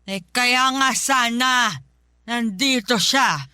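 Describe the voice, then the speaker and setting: Bitter invalid mother played by an actress, slow reproachful delivery, radio drama, music underneath. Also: on the high side, bright, forceful tone, smooth, no echo, clean studio sound. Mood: stubborn, dismissive. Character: bitter, resentful partly paralysed mother, cruel to her child. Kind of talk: Tagalog radio drama acting. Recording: music bed behind the voice.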